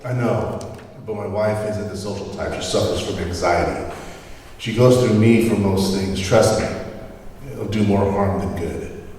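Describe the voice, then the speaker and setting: A man acts a protective husband with a low voice, firm and guarded. The recording is distant in a very echoey hall. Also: steady tone, measured pace, a little mellow, natural voice. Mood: guarded, insistent. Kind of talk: screenplay table read. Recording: very echoey hall, distant mic, faint noise.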